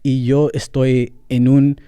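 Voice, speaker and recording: A young adult man with a mellow, warm voice speaks into a close mic in a dry, dead-sounding space. He talks candidly and off the cuff, mixing English with Central American–accented Spanish, with fillers here and there. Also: medium pitch, level tone, takes it slowly, smooth, no echo. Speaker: podcast host. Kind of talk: casual solo podcast monologue.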